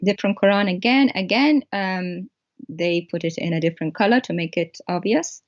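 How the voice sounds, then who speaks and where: A woman whose first language is Arabic, a guest explaining text on screen in a halting way with many pauses. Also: lowish voice, muffled recording. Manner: halting, pointing things out.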